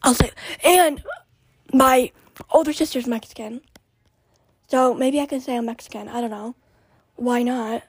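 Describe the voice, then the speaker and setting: Young woman w a raspy high voice, just rambling on her podcast, dry close sound. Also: unhurried, pauses often, dry recording. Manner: chatty, scattered, drops to whispered asides. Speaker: podcast host. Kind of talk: casual rambling chat.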